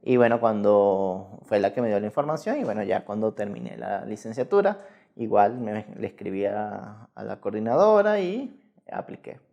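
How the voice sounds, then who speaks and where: A man's voice: fairly low pitch, very animated, moderate pace, vocal fry, dark tone, highs cut off. A Venezuelan man in his thirties giving casual, rambling interview answers with frequent self-corrections. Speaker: guest researcher in mathematics.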